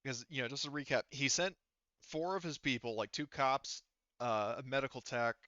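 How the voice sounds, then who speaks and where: Bright-voiced man running a tabletop game. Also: medium pitch, moderate pace, no room sound, highs cut off. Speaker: game master. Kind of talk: casual tabletop game narration.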